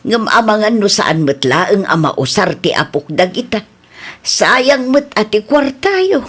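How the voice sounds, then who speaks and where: A doubtful, nagging older mother, voiced by an actress with a Filipino Ilocano accent. Her pitch swings in a lively way, in the manner of radio comedy drama. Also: a little slow, husky, full character voice. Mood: nagging, concerned.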